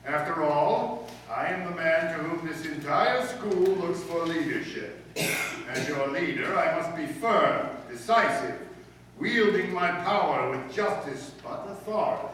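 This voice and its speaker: An echoey, distant recording of a man acting as a pompous middle-aged school principal from radio comedy. His voice is fairly high and forceful, and he speaks in formal, commanding phrases. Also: a little slow. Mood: self-important. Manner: pompous, authoritarian, formal diction. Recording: echoey room from far off, faint noise.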